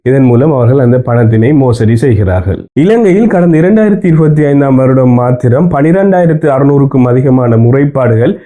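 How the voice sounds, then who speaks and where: A man's voice: medium pitch, expressive, a little fast, few pauses, mellow. A man with a Sri Lankan Tamil accent presenting a scripted news explainer in a formal, methodical way.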